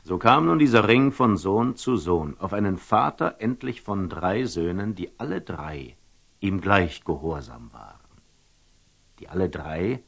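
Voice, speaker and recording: Wise older merchant, classical verse radio play, low husky voice, sweeping intonation, deliberate storyteller, whispered asides. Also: male voice, animated, full character voice. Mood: solemn storytelling. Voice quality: husky, gravelly, often dropping to a whisper. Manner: deliberate, ruminative, theatrical storyteller with dramatic pauses. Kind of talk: classical German verse radio drama acting.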